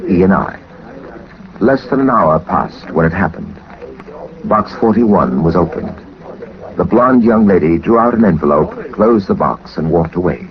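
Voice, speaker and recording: An actor playing a cool, methodical gentleman detective in a 1940s radio drama, speaking and narrating in a composed, clipped manner. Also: low-pitched. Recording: noisy muffled 1940s radio recording.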